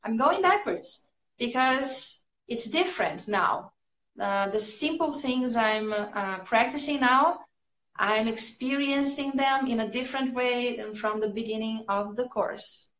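A woman in her thirties from Brazil, a native Portuguese speaker with a Brazilian accent, chatting casually as an English teacher on a livestream, upbeat and quick to laugh. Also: a little high, a bit bright. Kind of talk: casual livestream chat answering viewers' comments. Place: small room at home, indoors. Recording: echoey small room.

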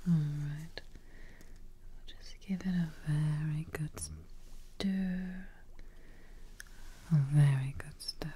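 A woman in her twenties whispering softly and reassuringly in an ASMR roleplay as a kindly witch, close to the mic. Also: low voice, lively intonation, slight background noise. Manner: hushed, slow, reassuring. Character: kindly witch receptionist brewing a healing potion.

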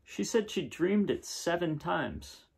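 A man in his thirties reading a picture book aloud in a casual, unhurried way with pauses between phrases. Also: on the high side, big pitch swings, gravelly, husky. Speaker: reader of children's picture books. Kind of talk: gentle read-aloud storytelling and casual chat. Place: small living room indoors, seen on camera.

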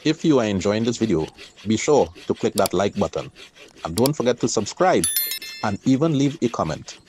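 A middle-aged man, calmly narrating his own kayak outing in a measured, explanatory voice-over. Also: lowish voice, a little hiss or hum. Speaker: kayaker and channel host.

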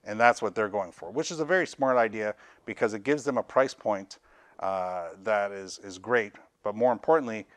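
A man's voice: lowish voice, no echo. A middle-aged man talking casually to camera as a gear seller and reviewer, explaining in a rambling way and qualifying his opinions. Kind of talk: casual product talk to camera. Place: indoors, small cluttered audio gear shop.

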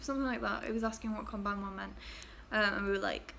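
A woman's voice: mid-range voice, fairly even intonation, fast, gravelly, a bit bright. A young woman from England chatting casually to her webcam in a small bedroom, giggling and trailing off into fillers. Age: young adult. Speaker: vlogger. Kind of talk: casual chat to camera. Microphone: laptop webcam at desk.

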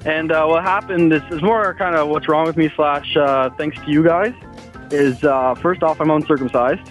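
A man calling into a radio show, relaxed and shrugging off bad news. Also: fairly high pitch, normal speed, soft background music. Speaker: caller. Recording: dull phone-in line.

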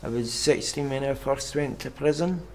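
A young man with a Glaswegian Scottish accent, giving personal testimony for a documentary in a halting, plain way with pauses and fillers. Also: medium pitch, darkish tone, slight background noise, rough recording. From Scotland. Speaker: documentary interviewee. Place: outdoors on a city street at night.

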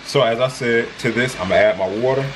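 A man's voice: mid-range voice, fast talker. A young man casually walking viewers through each step of his cooking in a home kitchen, filmed on a handheld camera. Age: young adult. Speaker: cooking vlogger. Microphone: handheld camera, close and at arm's length. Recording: home kitchen with frying and stirring noise. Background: food sizzling in a pan.

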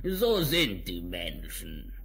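Slow, scheming big bad wolf. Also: male voice, low-pitched, wildly swooping pitch, a bit bright, full character voice. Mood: grumbling, scheming. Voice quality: rough, gruff, growling. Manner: scheming, menacing, theatrical. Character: sly, greedy, hungry big bad wolf. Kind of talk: German fairy-tale audio play acting.

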